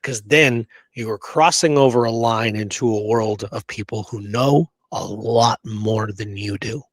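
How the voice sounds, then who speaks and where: A man's voice: on the low side, a little slow, no room sound. A man co-hosting a casual history discussion, talking emphatically and often checking in with "right?". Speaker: podcast co-host.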